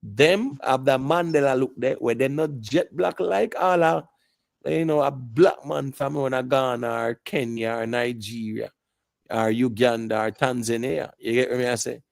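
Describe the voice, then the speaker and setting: A man's voice: medium pitch, fairly even intonation, normal speed, vocal fry, no echo. A man with a Jamaican accent on a live panel discussion, lecturing at length and often checking that his listener follows. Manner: lecturing, repeats "you get me" asides.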